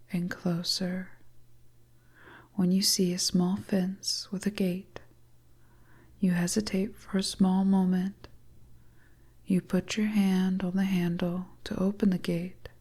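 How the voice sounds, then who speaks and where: Female storyteller, breathy and whispered, low-leaning and very even pitch, hypnotic suspense narration. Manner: slow, hushed, second-person suspense narration.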